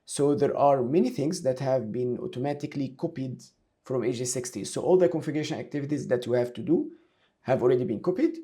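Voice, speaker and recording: Mellow-voiced male software instructor. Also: medium pitch, fast. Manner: instructional, talks through each step aloud. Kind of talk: screen-share tutorial, explaining step by step.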